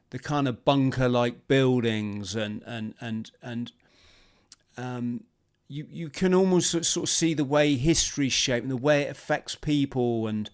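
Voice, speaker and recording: A man's voice: mid-range voice, clear, resonant tone. A man hosting a podcast interview, chatting casually and hesitantly with many restarts. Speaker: podcast host.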